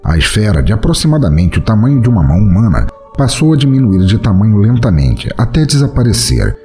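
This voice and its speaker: A man's voice: on the low side, big pitch swings, a little fast, warm tone, soft background music. A man with a Brazilian accent narrating a scripted fantasy story as the storyteller of an audio drama.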